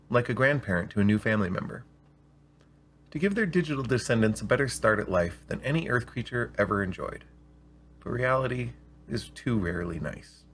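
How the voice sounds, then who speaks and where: A man with a slightly rough voice, hosting and reading scripted stories aloud in an expressive, deliberate way. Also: medium pitch, a little hiss or hum. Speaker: storyteller and show host. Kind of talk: scripted story reading with spoken intro.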